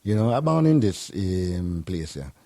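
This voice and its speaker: A man's voice: low-pitched, sing-song, a little slow, gravelly, warm tone, no echo. A man from Jamaica with a Jamaican accent, hosting a casual radio talk show in a loose, rambling way. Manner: laid-back, rambling, peppers speech with "you know". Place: radio studio.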